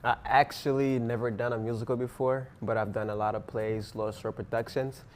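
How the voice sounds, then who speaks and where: A man's voice: medium pitch, little pitch movement, moderate pace. Teenage boy, English not his first language, earnest, rambling, faint hum behind. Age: teens. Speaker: interviewee, student actor. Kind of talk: casual interview answers.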